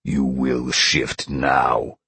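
Guy playing a growly lion shifter in an audiobook, low gravelly voice, blunt and impatient. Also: expressive, a little slow, dry recording, exaggerated voice. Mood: menacing. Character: gruff, impatient male lion shapeshifter. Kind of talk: audiobook character acting.

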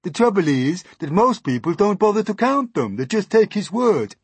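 Bloke doing a prissy complaining worm in a kids book, raised pitch, very indignant and emphatic. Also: male voice, very animated, normal speed, husky, dry recording, full character voice. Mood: exasperated. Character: prim, indignant male earthworm, contrary and self-important.

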